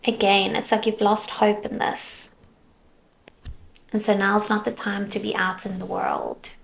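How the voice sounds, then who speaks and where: A woman giving a tarot reading, musing softly as she goes and sometimes slipping into a whisper, her voice worn as though she is losing it. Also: mid-range voice, little pitch movement, gravelly. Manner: slow, soft, musing, drifts into whispers. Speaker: tarot reader.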